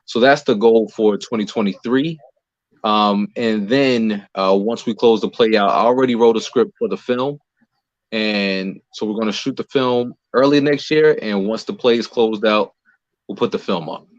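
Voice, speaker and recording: A man's voice: on the low side, moderate pace. An American man talking casually and explaining things as an interview guest, in a conversational way. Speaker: playwright and producer being interviewed. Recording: roomy echo, dull lo-fi sound.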